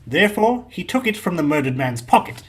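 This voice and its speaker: Man as high-pitched, cocksure police inspector. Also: big pitch swings, brisk. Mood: smugly confident. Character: cocksure, defensive male police inspector, eager and fussy. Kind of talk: radio play acting.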